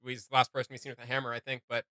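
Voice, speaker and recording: A man's voice: medium pitch, completely flat delivery, very fast, pushed and bright, no room sound, studio quality. A man hosting a reaction video, thinking aloud in casual, tentative commentary.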